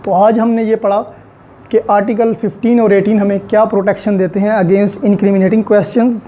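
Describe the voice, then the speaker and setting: Mellow Pakistani man teaching law. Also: sits high, flat delivery, normal speed, a little hiss or hum. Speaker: law lecturer. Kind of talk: explanatory lesson with English legal terms mixed in.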